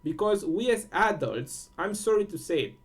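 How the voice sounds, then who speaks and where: A man from Romania speaking English with a Romanian accent, giving a casual spiritual talk full of fillers, restarts and pauses. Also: high voice, very animated. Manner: halting, many fillers and restarts. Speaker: host.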